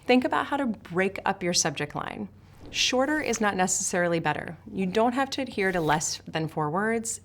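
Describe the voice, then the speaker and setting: Woman sales coach from Switzerland; direct, emphatic instructional delivery to camera, middle pitch. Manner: emphatic, direct, addresses viewer. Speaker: sales trainer. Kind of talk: scripted instructional talk to camera.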